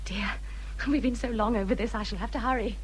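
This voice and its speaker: An English actress playing a self-important, fretful young married gentlewoman in a period radio drama, plaintive and gushing. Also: on the high side, brisk, a little hiss or hum, close to an everyday voice. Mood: fussily self-justifying.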